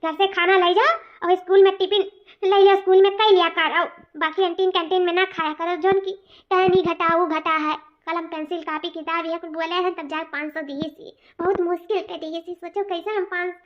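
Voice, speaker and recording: A woman's voice: very high voice, steady tone, fairly quick. A woman voicing a lovestruck young female student in a cartoon comedy, pleading and repeating herself with an eastern Uttar Pradesh accent. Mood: worried. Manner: pleading, repetitive, emphatic. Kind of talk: cartoon family comedy acting. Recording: muffled, dull audio.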